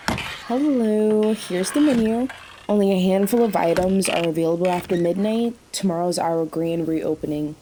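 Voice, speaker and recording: A woman's voice: on the low side, normal speed, warm tone, a little hiss or hum, lightly acted, rough recording. A woman playing a sassy, impatient late-night diner waitress in an audio drama, with a brusque manner. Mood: brusque, businesslike.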